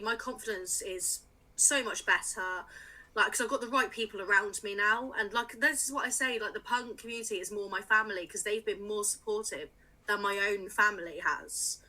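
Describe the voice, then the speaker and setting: Bright-voiced chatty woman in her late twenties. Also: medium pitch, fairly even intonation, fairly quick, low-quality recording. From England. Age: late 20s. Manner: chatty, frequent laughs and fillers. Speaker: music writer, podcast guest. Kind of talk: casual interview chat.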